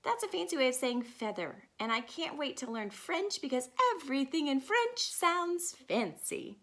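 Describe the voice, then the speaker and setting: A woman plays an enthusiastic little girl telling her own storybook tale in a high voice. She is dramatic and in love with all things fancy, proudly explaining grand words. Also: sing-song, normal speed, a bit bright, full character voice. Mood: eager, proud.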